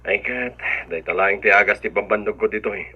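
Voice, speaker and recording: A man's voice: on the low side, fairly quick, husky, highs cut off. An actor playing a caring husband and father in an Ilocano radio drama, affectionate and earnest.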